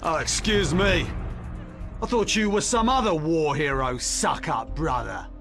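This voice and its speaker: A man's voice: on the high side, unhurried, creaky. A man playing a belligerent, taunting marine bully with a sneering, mock-polite manner in a video game. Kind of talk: video game cutscene acting. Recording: music behind the voice.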